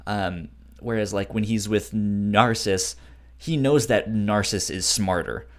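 A man co-hosting a podcast, talking casually and haltingly, often stammering over word starts. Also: lowish voice. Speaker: podcast co-host. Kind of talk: casual book discussion chat.

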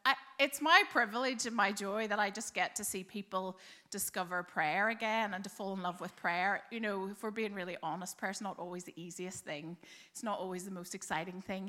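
Bright-voiced Northern Irish woman. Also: medium pitch. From Northern Ireland. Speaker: guest speaker. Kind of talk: church talk interview.

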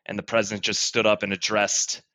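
A man as a podcast guest expert, giving measured, approving, mock-serious feedback in a comedy chat. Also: on the low side, level tone, bright, forceful tone, no room sound. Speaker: guest playing an expert educator giving critique.

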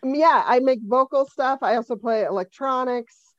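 A woman's voice: high-pitched, normal speed, dry recording. Wry fifty-year-old woman, muffled mic. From Denver, USA. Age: 50s. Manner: dry, wry, laughs often. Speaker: comedian and musician guest. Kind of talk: casual podcast interview.

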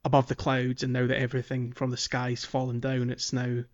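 A man hosting a casual podcast about a game, talking in a halting, thoughtful way. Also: medium pitch, monotone. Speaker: podcast host. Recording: slightly dull, muffled audio.

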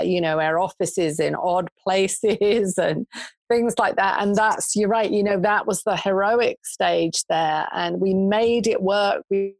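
A woman co-hosting a casual chat over a video call, her voice croaky from smoke, chatty and quick to laugh. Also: medium pitch, dry recording. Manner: chatty, laughs easily, thinks aloud. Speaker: podcast co-host. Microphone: remote video call.

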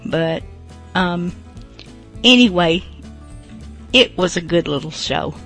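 A woman's voice: fairly low pitch, lively intonation, slow pace, a bit bright, faint music behind. A woman hosting a radio show, introducing programs in a casual style.

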